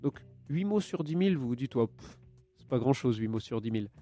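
A man's voice: mid-range voice, lively intonation, fairly quick, dark tone, faint music behind. A man hosting a casual radio-style chat, joking and chatty, raised with Italian alongside French. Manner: joking, chatty, drifts into asides. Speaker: podcast co-host.

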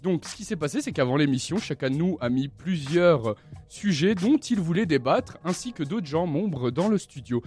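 Lively young radio host. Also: male voice, a little high, moderate pace, husky, faint music behind. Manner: lively, chatty, joking. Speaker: host of a student radio show. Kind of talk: live radio chat. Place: radio studio.

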